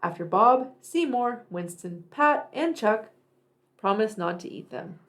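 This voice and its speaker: A woman narrates a picture book for young children. She takes her time over each phrase and moves expressively up and down in pitch. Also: expressive, fairly bright tone. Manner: deliberate, addressing young children. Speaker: children's read-aloud storyteller.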